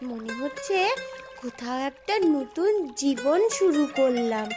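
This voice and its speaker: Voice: sits high, lively intonation, unhurried, music in the background. A performer voicing a small, timid young daughter in a cartoon, with a childlike, plaintive manner. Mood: hopeful. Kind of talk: Bengali cartoon voice acting.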